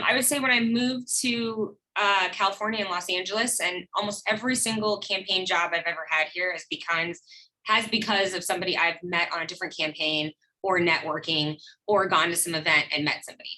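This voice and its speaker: Woman panelist, lowish voice but bright and punchy, straight to the point. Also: low-quality recording. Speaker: campaign staffer, panelist. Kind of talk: panel discussion.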